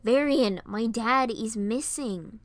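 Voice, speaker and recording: A woman's voice: a little high, takes it slowly, no echo. A woman voicing a concerned, plucky young girl in a dramatized story, earnest and easily exasperated. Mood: anxious.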